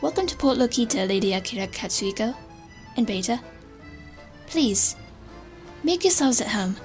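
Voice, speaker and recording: A woman's voice: on the high side, lively intonation, moderate pace, soft background music, lightly acted. A woman playing a welcoming, trusting town guard in a fantasy audio drama, polite and hospitable.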